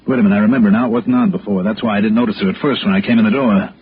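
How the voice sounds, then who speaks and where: An American actor playing a weary, wry, hard-boiled insurance investigator in a 1960s radio noir, terse and insistent. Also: male voice, lowish voice, fairly even intonation, rapid-fire, a little hiss or hum, lightly acted. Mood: insistent, frustrated. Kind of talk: 1960s radio noir acting and first-person narration. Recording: muffled old radio broadcast, music behind.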